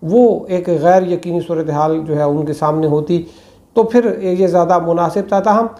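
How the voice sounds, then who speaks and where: A man, giving political commentary to camera in a small room on a lapel mic, measured and full of hesitations. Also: on the high side, warm tone. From Pakistan. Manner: measured, many hesitations and fillers. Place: small indoor room with plain walls.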